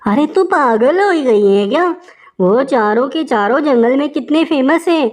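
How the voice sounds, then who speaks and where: A woman voicing a wary, excitable female wolf from a pack in a children's story. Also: high-pitched, unhurried, pitched above the performer's own voice. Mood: incredulous. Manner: excitable, protesting.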